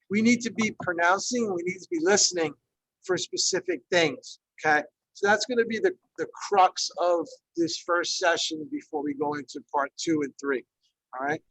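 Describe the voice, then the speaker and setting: Man in his fifties, from Ecuador, high voice, gravelly, dry close sound, video call, chatty teacher full of fillers. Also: sing-song, normal speed, fairly bright tone, dry recording, rough recording. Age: 50s. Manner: conversational, many fillers, frequent check-ins. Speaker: Spanish teacher leading a workshop. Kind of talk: online workshop presentation.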